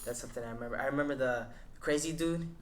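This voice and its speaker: A man's voice: mid-range voice, rapid-fire, a little hiss or hum. A man hosting a casual podcast, talking loosely with frequent restarts. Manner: loose, rambling, many restarts. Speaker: podcast host.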